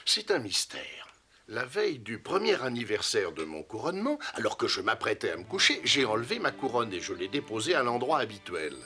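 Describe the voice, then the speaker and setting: A gentle elephant king father, lively pitch. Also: brisk. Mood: gentle, reflective. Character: adult male elephant king, kindly father recounting his past. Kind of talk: animated series dialogue. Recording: music under the voice.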